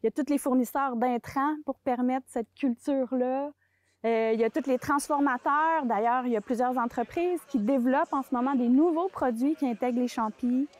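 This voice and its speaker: A woman's voice: high-pitched, normal speed, darkish tone. A Québécois woman, an expert on the mushroom sector, explaining it in an interview with frequent fillers and restarts. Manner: hesitant, many fillers and restarts.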